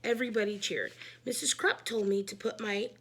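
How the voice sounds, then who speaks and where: A woman reading a children's book aloud, narrating expressively in an animated, emphatic way. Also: mid-range voice, brisk, a little hiss or hum. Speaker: read-aloud storyteller.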